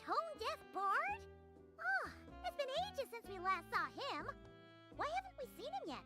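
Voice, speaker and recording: A tiny fairy companion girl with a very high, squeaky voice. She is excitable and cheeky, swooping all over the place in chatty video game style, with loud music behind her. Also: normal speed. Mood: curious. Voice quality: childlike, squeaky. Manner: exclaiming, chatty. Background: bright game music.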